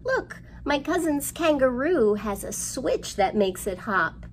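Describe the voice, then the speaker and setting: A middle-aged woman leads a children's storytime in an expressive, sing-song way. She takes her time over each phrase, and her mid-pitched voice has a gravelly fry. Some background noise of the room sits behind her. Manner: slow, expressive, sing-song delivery addressed to small children. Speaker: children's library storytime host. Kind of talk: storytime rhymes and picture book reading for young children. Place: indoors in a library room.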